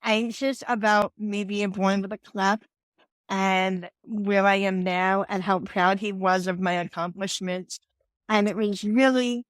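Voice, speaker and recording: A woman's voice: mid-range voice, no room sound. A woman in her forties with a New York accent, a podcast guest and confidence coach telling her story earnestly, often restarting her sentences. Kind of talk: podcast interview.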